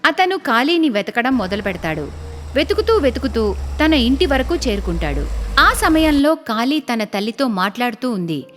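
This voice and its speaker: Female cartoon story narrator, higher register, reverberant, music bed. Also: sing-song, normal speed, a bit bright. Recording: long reverb, music behind. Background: background music.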